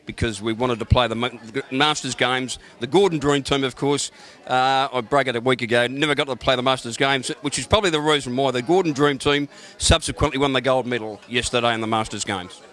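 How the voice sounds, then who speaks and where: A man, a racing tipster, chatting in a relaxed, slightly halting way at a racecourse lounge. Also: mid-range voice. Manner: relaxed, hesitant, starts with "look". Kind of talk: casual interview chat.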